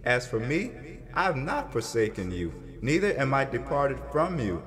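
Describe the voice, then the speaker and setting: A man playing an earnest prophet who narrates in a measured, solemn way in a dramatized scripture reading. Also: medium pitch, big pitch swings, lightly acted. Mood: earnest, consoling. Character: earnest male prophet narrating his encounter with his people. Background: music.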